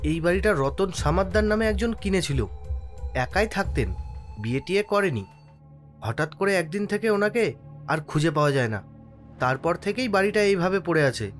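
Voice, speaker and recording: A man performs a humble village helper in a horror cartoon. The voice is high for a man and swings animatedly, deferential yet cautioning. Music runs beneath it. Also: lively intonation, normal speed. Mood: mysterious, explaining. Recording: music under the voice.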